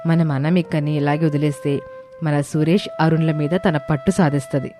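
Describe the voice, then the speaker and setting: Woman doing a low, scheming elder sister-in-law. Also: steady tone, normal speed, dark tone, natural voice. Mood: resentful. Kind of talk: dramatized moral story dialogue. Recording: music bed under the voice.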